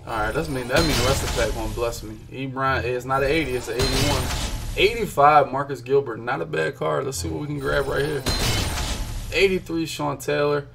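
A young man from Philadelphia rattles off card pulls in a loose, hyped stream commentary at a mid-range pitch. He talks straight to his chat from a small home room on a desk mic. A faint hum sits under his voice. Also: fairly even intonation, a little fast, vocal fry. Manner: chatty, hyped, talks to chat while clicking. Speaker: gaming streamer. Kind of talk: casual pack-opening commentary.

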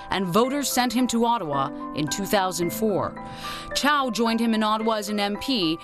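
A woman narrates a scripted news obituary. Her voice sits at a middle pitch, with music clearly playing underneath. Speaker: news reporter. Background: prominent background music.

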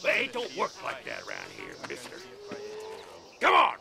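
An actor playing a belligerent, hot-tempered frontier heckler in a western game, shouting taunts. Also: male voice, mid-range voice, wildly swooping pitch, moderate pace, gravelly, crowd noise, full character voice. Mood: enraged. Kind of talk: video game western acting.

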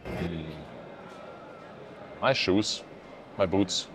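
A man's voice: low voice, extremely slow, noisy background. A man in his late twenties from Hamburg, a German native speaker, answering simply and hesitantly outdoors on a lapel mic. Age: 20s. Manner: hesitant, simple phrasing with fillers. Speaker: interviewee, pilgrim. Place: outdoors under a stone arcade.

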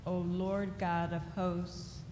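Slow woman reading in an echoey church. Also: lowish voice, level tone. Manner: slow, deliberate reading with occasional slips. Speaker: lay reader. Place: church sanctuary at a lectern. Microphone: lectern microphone. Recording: echoey church hall with faint noise.

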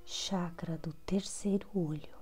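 Brazilian woman, guided meditation prayer, very slow delivery, soft and mellow, breathy phrase endings, measured pauses. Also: fairly low pitch, fairly even intonation. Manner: measured, pausing between short phrases. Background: soft background music.

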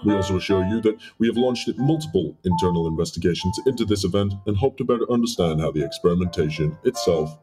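Man as deep, coldly formal corporate interviewer. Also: music in the background, lightly acted. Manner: formal, measured. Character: cold, sinister male corporate interviewer, calmly menacing. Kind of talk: analog horror film acting.

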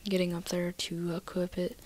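A woman casually walking through her game inventory in a soft, half-whispered voice, a little nasal from a cold, recorded on a computer mic. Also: lowish voice, completely flat delivery, moderate pace, a little hiss or hum. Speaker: gaming video maker.

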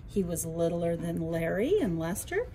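A woman's voice: on the low side, big pitch swings, measured pace, dark tone, slight background noise, low-quality recording. A woman narrating a children's bedtime story expressively, as a librarian would at storytime.

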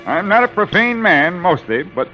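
A man's voice: high voice, very animated, normal speed, loud music behind the voice. A man playing a stubborn, grumpy Massachusetts farmer in a 1940s radio drama, talking in a folksy, terse grumble. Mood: irritated. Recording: dull vintage 1940s radio recording.

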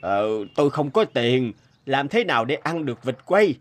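An actor playing a portly god of wealth in a cartoon folktale, theatrical and exclamatory, with drawn-out words. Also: male voice, medium pitch, big pitch swings, close to an everyday voice. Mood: bewildered, pleading. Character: portly god of wealth, hungry amnesiac beggar turned stern deity. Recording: music behind the voice.

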